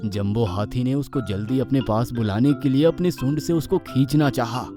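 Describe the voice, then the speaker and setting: A man narrating a scripted children's story in an expressive storytelling style. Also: medium pitch, moderate pace. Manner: expressive, animated. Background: background music.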